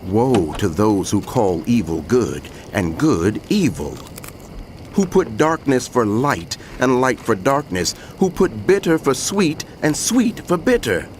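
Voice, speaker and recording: A man's voice: on the low side, a little hiss or hum. A man playing a solemn prophet who proclaims woe in an emphatic, declamatory way in dramatized scripture narration.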